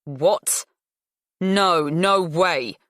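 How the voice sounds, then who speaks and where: A woman's voice: fairly low pitch, drawn-out words, a bit bright, dry recording. Blunt, antagonistic female friend, audiobook, acted by the woman narrator. Combative delivery, dry recording. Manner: combative, muttering, demanding. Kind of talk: audiobook character dialogue.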